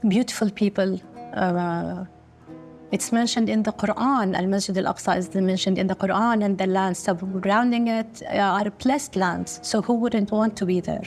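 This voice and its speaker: A middle-aged woman from Kuwait, speaking slowly and earnestly with short pauses in a documentary interview. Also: mid-range voice, darkish tone. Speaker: business founder.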